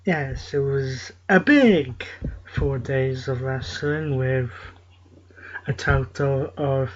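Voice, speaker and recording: A man hosting a casual talk show, recapping results at a halting, steady pace. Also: medium pitch. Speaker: show host. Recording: lo-fi with loud hiss.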